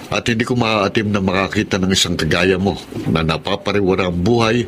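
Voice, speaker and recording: An actor playing a kind-hearted middle-aged father who talks in a calm, steady, firm way. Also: low-pitched, fairly quick, a little hiss or hum. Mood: firm, gentle. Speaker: radio drama actor. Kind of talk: radio drama acting.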